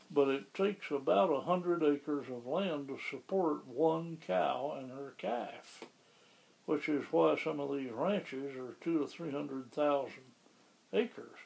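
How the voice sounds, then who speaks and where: Gravelly-voiced man, higher pitch. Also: a little mellow, rough recording. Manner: measured, explanatory, with occasional restarts. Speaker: interviewee, local resident. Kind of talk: relaxed conversational interview.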